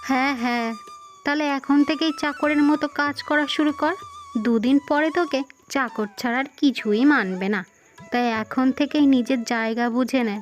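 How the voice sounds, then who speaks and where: Woman playing a haughty, high-voiced cartoon mother. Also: normal speed, lightly acted. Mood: scolding, scheming. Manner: haughty, demanding, scolding. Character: arrogant, greedy, meddling mother of a beautiful bride. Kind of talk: Bengali moral-tale cartoon voice acting. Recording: music behind the voice.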